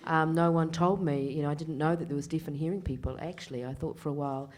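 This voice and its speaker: Low-voiced New woman interpreter. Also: steady tone, fast talker, darkish tone. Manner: hesitant, with many fillers and restarts. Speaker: sign language interpreter voicing a Deaf male panellist. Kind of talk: live first-person interpreting on a panel.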